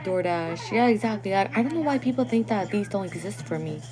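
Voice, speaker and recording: A woman's voice: medium pitch, expressive, fairly quick, crowd noise. A young woman chatting casually on a phone livestream; English is not her first language, and she laughs often and sometimes stumbles over words. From Guatemalan family.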